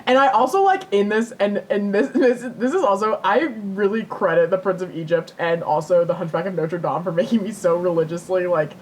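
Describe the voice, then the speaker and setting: A young woman co-hosting a podcast, telling stories casually in a giggly, animated way with easy swearing. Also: fairly quick, few pauses. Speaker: podcast co-host. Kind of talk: casual chat, storytelling. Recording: rumbly background noise.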